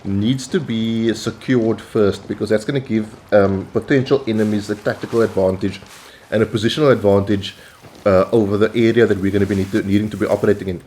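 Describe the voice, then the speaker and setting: A South African man hosting his gaming channel, calmly explaining tactics over gameplay with frequent pauses. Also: lowish voice, a little hiss or hum. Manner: measured, instructional, with frequent "um" and "uh" pauses. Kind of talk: gameplay commentary.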